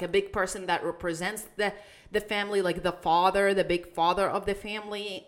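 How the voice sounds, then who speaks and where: Low-voiced young woman from Iraq. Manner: storytelling, halting with restarts and fillers. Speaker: podcast co-host. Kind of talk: casual chat.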